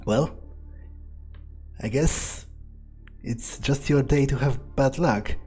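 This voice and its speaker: A player narrating a video game in character, thinking aloud in a sardonic way with pauses mid-sentence. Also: medium pitch, a little mellow, breathy edge, soft background music. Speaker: game player role-playing his character.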